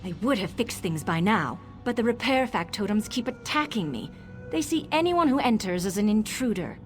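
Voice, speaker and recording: A woman plays a brisk, self-assured engineer from a fantasy clockwork city. Her voice sits at a middle pitch and she explains things with precise, technical confidence, as in video game quest dialogue. Soft music plays underneath. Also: very animated, close to an everyday voice. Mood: flustered, explaining.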